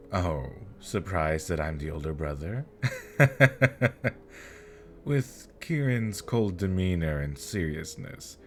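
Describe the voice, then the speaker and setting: Deep, smug demon nobleman, acted by a man. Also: exaggerated voice. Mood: smug, teasing.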